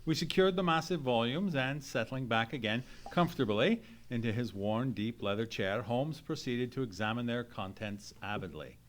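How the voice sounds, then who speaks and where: An actor playing a loyal, sceptical Victorian man of science in an old-time radio mystery play, speaking in an earnest, measured, formal manner. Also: medium pitch, lively intonation, natural voice. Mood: solemn narrating. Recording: noisy live theatre stage recording.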